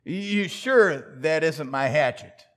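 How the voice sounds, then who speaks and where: A man's voice: a little high, expressive, slow pace, fairly bright tone. An actor playing a pompous, proud and gullible male neighbor in old-time radio comedy, hemming and indignant. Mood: hesitant. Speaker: actor in community theater radio play.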